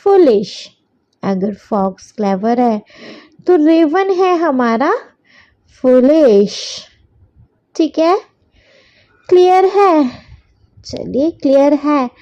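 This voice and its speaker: Female Indian-accented school teacher, high and very slow, didactic question-and-answer delivery with repeated dictation, slightly lo-fi sound. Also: dark tone. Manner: didactic, repeats sentences slowly as dictation, asks check questions. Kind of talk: textbook question-and-answer lesson in Hindi and English.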